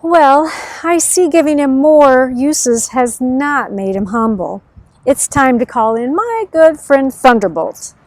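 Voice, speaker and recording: A woman's voice: high-pitched, lively intonation, no echo, low-quality recording. A woman voicing a patient, musing female nature spirit in a children's storybook. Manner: musing, deliberate.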